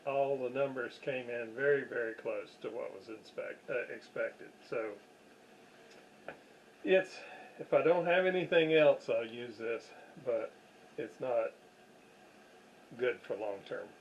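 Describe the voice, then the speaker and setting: Male repair-video host, unhurried and halting, mid-pitched, self-deprecating, off the cuff, with noise behind him. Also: muffled recording. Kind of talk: casual unscripted workbench commentary. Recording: clear background noise.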